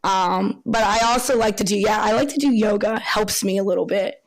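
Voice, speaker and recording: Woman guest, tri-state accent, gravelly vocal fry, candid and filler-heavy. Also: medium pitch, fairly quick, rough recording. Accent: tri-state (Pennsylvania/Maryland area). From Pennsylvania. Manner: candid, with frequent ums. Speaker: guest photographer. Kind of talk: casual interview conversation.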